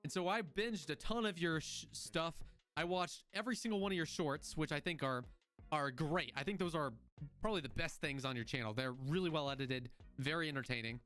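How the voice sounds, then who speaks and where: A man in his twenties hosts a casual podcast, joking and rambling as he restarts his sentences. His voice sits a little high. Faint music plays beneath. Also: brisk, a bit bright. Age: 20s. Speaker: podcast host. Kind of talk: casual chat.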